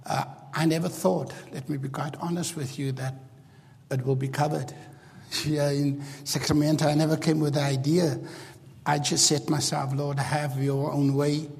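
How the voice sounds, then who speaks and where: A man whose first language is Afrikaans, preaching a sermon in English with halting restarts and rising emphasis. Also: on the high side, flat delivery, gravelly, husky. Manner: starts quiet and halting, builds to loud emphatic preaching. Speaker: pastor. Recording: long hall reverb.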